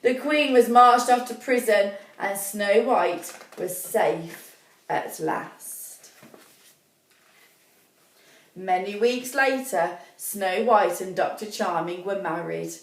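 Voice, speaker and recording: A woman reading a children's story as expressive, deliberate narration, pausing to put questions to young listeners. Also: mid-range voice. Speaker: primary school story reader. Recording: echoey room, distant mic.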